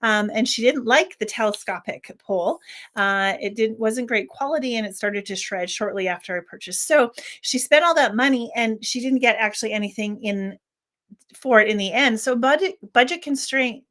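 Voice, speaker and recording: A woman hosting her own channel, giving a casual unboxing review. She thinks aloud, often restarting sentences, and her voice is a little squeaky today. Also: fairly bright tone. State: slightly squeaky voice. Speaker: worship flag maker and channel host.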